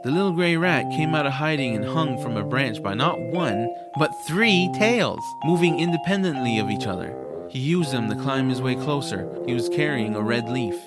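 A man's voice: mid-range voice, lively intonation, moderate pace. A man reading scripted first-person narration for a family fantasy audiobook. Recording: music behind the voice. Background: music.

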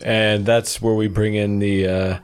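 A man with a fairly low voice co-hosts a casual conversation. He chimes in briefly, with an informal and jokey way of talking. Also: level tone, a little slow, a little hiss or hum. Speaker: podcast co-host. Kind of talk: casual chat, short interjections.

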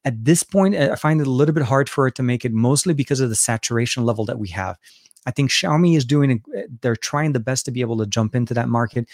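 A man's voice: mid-range voice, quick pace, a little mellow, dry recording, clean studio sound. A man from Lebanon with a Lebanese accent, hosting a casual live question-and-answer stream about phones and cameras, talking loosely with many fillers and restarts. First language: Arabic. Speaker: tech channel host answering live chat.

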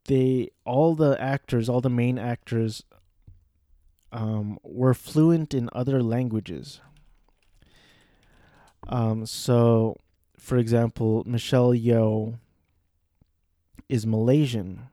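Slow, mellow-voiced man rambling casually. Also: medium pitch, no room sound, studio quality. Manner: hesitant, with many fillers and restarts. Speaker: solo commentator.

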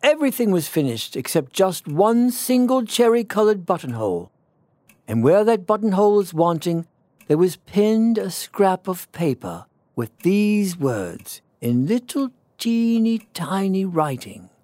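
A man putting on a British accent as an old-fashioned storybook narrator, gentle and full of wonder, savouring his words theatrically. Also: high voice, big pitch swings, no echo, voice pushed up. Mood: delighted wonder. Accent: British, put on. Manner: theatrical, savouring, drawn-out emphasis. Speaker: storyteller reading a children's tale. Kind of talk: expressive classic storybook narration.

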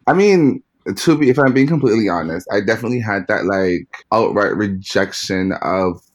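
A man's voice: fairly low pitch, lively intonation, moderate pace. A man from Jamaica with a Jamaican accent, being interviewed and speaking frankly about his own experience. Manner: frank, with fillers. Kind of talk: candid personal storytelling.

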